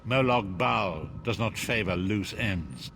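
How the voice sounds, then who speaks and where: A man playing a grave, wise sage in a fantasy game, narrating in a solemn, measured, portentous way. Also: lowish voice, gravelly, noisy background. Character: grave, wise male sage, freed former prisoner.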